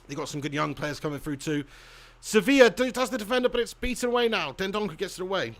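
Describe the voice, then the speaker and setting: Young lad doing a live football watch-along, high voice, jokey, reads chat, laughs a lot. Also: male voice, lively intonation, fast, a bit bright. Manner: chatty, laughs often, banters with viewers. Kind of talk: casual live commentary reading chat.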